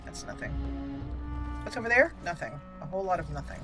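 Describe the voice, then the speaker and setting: A woman's voice: fairly low pitch, big pitch swings, a little fast, music in the background. A woman hosting a roleplay let's play, chatty and playful, thinking aloud as she goes. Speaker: gaming host.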